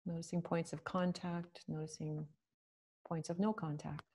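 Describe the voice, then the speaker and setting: A woman leading a guided meditation, speaking slowly and gently with long pauses. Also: lowish voice, flat delivery, husky.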